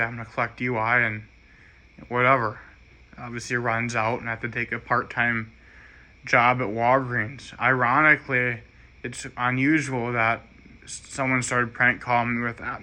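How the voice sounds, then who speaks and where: An American man giving a rambling, halting personal monologue in an earnest, aggrieved manner. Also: medium pitch, flat delivery, bright, forceful tone.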